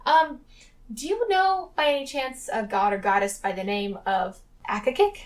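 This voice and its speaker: Earnest polite seer lady played by a woman, highish voice, unsure, bit off the mic. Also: moderate pace, distant mic, natural voice. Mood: hesitant. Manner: polite, tentative, questioning. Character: earnest, hesitant female seer in fantasy adventure.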